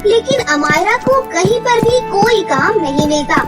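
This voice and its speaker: Woman, very high voice, dramatic children's storytelling, scripted narration, loud background music. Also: animated, normal speed. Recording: music under the voice.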